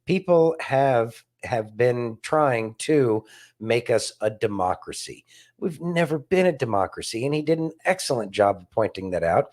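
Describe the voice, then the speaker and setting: A man's voice: mid-range voice, animated, unhurried, a little mellow. A man co-hosting a casual political discussion, speaking emphatically and repeating phrases for stress. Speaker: podcast co-host.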